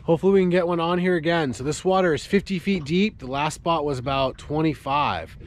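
A man's voice: fairly high pitch, fairly quick. Chatty man filming from a fishing boat. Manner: relaxed, chatty, explains as he goes. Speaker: fishing vlogger. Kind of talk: casual on-camera narration. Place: outdoors on a small fishing boat on open water. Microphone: action camera held at arm's length. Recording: outdoor camera audio with boat noise. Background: boat and water noise.

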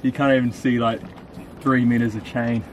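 A man's voice: medium pitch, level tone, moderate pace. Laid-back young man sailing. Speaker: sailing vlogger. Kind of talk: casual vlog chat. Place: on a sailboat outdoors. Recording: wind and boat noise.

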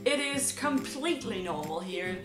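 A woman's voice: mid-range voice, very animated, normal speed. A young woman from Denmark with a Danish accent, joking through a scripted vlog monologue. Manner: playful and joking. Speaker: vlogger. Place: small room indoors. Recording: echoey room with background guitar music. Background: guitar music.

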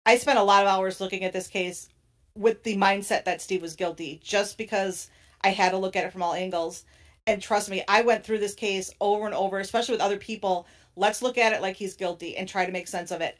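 Woman panelist, mid pitch, earnest and emphatic. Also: a little fast. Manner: earnest, emphatic, laughs between points. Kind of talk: casual panel discussion.

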